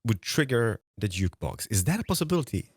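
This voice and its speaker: A man chatting casually while gaming with a friend, excitable and prone to exclaiming and repeating words. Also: on the low side, fast talker, slightly rough, dry recording, clean studio sound. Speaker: gaming collaborator. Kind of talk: casual chat while playing a game.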